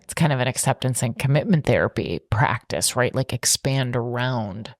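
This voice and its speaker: A woman's voice: extremely low pitch, moderate pace, no echo, studio quality. A woman co-hosting a podcast, talking casually and reflectively about relationships, often saying "like". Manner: reflective, therapy-informed, frequent "like". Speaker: podcast co-host.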